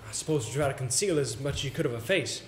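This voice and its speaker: A man acting in a stage play as a polite, anxious, theatrical English gentleman with formal, hesitant phrasing. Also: medium pitch, expressive, quick pace, close to an everyday voice. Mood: concerned, unsettled. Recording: roomy, noisy stage play recording.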